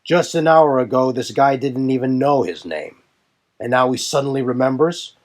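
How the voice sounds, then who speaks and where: A man's voice: mid-range voice, normal speed, natural voice. A man voicing a suspicious, hostile young warrior with a scornful, accusing manner in a fantasy audio drama. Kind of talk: fan audio drama dialogue.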